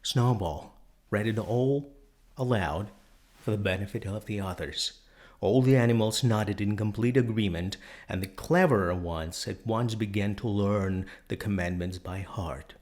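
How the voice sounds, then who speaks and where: Slow, low-voiced man narrating a story. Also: darkish tone.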